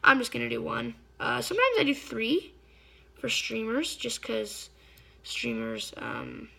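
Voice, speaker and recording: A slightly hoarse woman giving a casual hands-on fly-tying tutorial, chatty and often stopping to search for a word. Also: a little high, huge pitch swings, unhurried, bright, forceful tone. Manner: chatty, stops to search for words.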